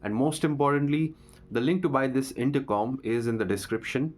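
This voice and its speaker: A man's voice: medium pitch, brisk. A man talking through a product unboxing as a vlogger, in a casual reviewing style. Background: music.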